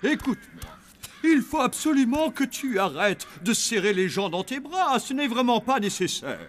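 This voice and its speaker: A man voicing a bossy, excitable, fussy cartoon character. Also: extremely high pitch, normal speed, exaggerated voice. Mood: stern. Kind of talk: French cartoon voice acting. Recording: background music.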